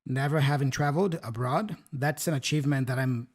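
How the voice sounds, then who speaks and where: A man's voice: mid-range voice, a little fast. Brazilian man, friendly teaching chat. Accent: Brazilian Portuguese. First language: Portuguese. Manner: friendly, often checks in with "right?" and "yeah?". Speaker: English teacher and podcast co-host.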